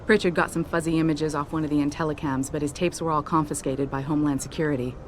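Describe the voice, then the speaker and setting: An actress playing a loyal, candid female pilot in a video game, speaking in a low, level, often soft voice. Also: fairly even intonation, fast talker, slight background noise, close to an everyday voice. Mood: steady.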